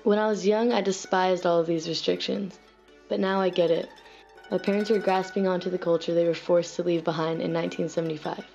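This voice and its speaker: Young American woman, mellow and dark tone, earnest scripted narration, muffled lo-fi recording. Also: fairly low pitch, steady tone, brisk, vocal fry. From United States. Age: young adult. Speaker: student narrator of a personal essay. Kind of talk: scripted personal narration, reflective. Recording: dull lo-fi voice-over recording. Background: music playing faintly behind the voice.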